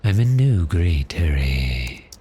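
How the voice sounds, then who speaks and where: A man playing a polite, cheerful but menacing vampire in an ASMR roleplay, speaking courteously and deliberately. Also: low-pitched, very animated, mellow, husky. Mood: polite, hypnotic menace. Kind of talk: slow ASMR roleplay acting.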